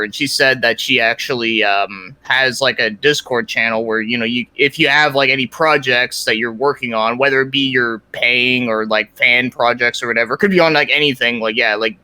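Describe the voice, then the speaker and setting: Guy rambling on a podcast, bright forward voice, swears a bit, really dry close sound. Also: mid-range voice, no room sound, low-quality recording. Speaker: guest, creator of a fan parody series. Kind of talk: casual podcast chat.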